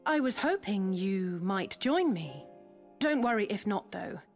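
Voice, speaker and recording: Direct young fantasy heroine, music behind. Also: female voice, medium pitch, big pitch swings, unhurried, darkish tone. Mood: hesitant, hopeful. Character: determined, proud young heroine of a fantasy game. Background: game music.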